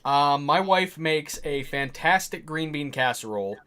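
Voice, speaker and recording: A man's voice: fairly high pitch, lively intonation, unhurried, bright, forceful tone, smooth. A man speaking casually as a show's crew member who relays comments to the hosts. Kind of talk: casual chat.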